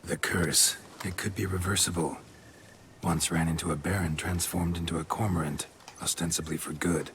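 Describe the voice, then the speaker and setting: An actor playing a stoic, gruff monster hunter in a video game, speaking in terse, clipped phrases with a low, gravelly voice. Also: male voice, steady tone, normal speed, a bit bright, a little hiss or hum. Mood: grave, matter-of-fact. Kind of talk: video game cutscene acting.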